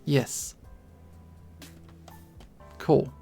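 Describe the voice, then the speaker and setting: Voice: extremely slow, dark tone, soft background music. A streamer with a southeast English accent, chatting casually and playfully while drawing, pronouncing words with care. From southern England. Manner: clearly enunciated, pronounces T's; playful, chuckles often.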